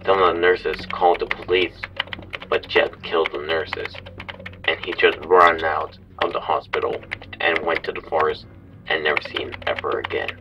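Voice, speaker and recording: Man acting deep-voiced, anxious horror storyteller. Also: fairly even intonation, moderate pace. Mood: grim, anxious. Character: frightened man recording a killer's story, grim, anxious. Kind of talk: horror audio drama narration. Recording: distant, muffled, noisy with keyboard clatter.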